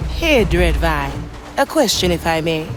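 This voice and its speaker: An actress playing a bold, inquisitive young pirate navigator with a cheeky manner in a video game. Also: female voice, low voice, sing-song, measured pace, music in the background. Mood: curious, probing. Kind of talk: video game character acting.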